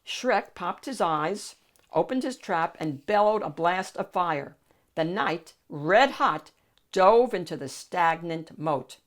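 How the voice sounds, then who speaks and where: A woman's voice: lowish voice, lively intonation, takes it slowly. A woman reading a picture book aloud expressively, as the host of a storytime. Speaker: storybook reader and channel host.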